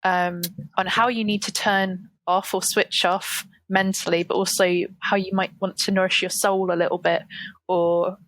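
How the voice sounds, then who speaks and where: A woman on a gaming podcast panel, chatting casually and rambling a little, her voice going hoarse. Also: medium pitch, level tone, normal speed, fairly bright tone, dry recording. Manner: rambling and self-deprecating, with frequent fillers. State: losing her voice.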